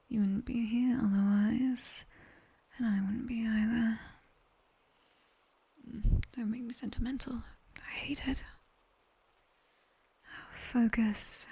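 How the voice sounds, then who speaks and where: A British woman voicing a sharp-tongued nightmare demoness tutor in a soft, breathy ASMR whisper, measured and gently commanding as she guides relaxation. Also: fairly high pitch, heavily acted. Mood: wry, teasing.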